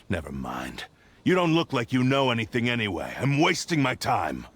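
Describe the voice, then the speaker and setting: A cold, brooding swordsman, clipped and dismissive, his pitch shifting widely between low mutters and sharp questions. Faint ambience hangs behind him. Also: wildly swooping pitch, normal speed, slight background noise. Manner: curt, dismissive, aloof.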